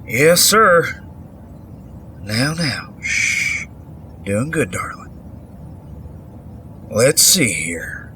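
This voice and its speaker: Older small-town doctor, folksy and kind, slow-talking but bright-voiced, audio drama. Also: male voice, medium pitch, sing-song, slight background noise, exaggerated voice. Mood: soothing. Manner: folksy, drawling endearments. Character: folksy older male country doctor, kindly and unhurried.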